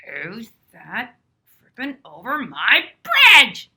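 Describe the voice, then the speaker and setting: A woman voicing a mean, nasty troll under a bridge who roars loudly, in a read-aloud storybook. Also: on the high side, huge pitch swings, extremely slow, pitched above the performer's own voice, heavily acted. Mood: roaring. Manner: loud roaring bellow.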